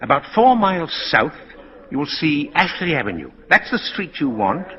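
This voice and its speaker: A man's voice: on the high side, very animated, normal speed. An elderly actor playing a kindly old man taken for Santa Claus in a 1940s radio drama, on a dull old recording. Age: older.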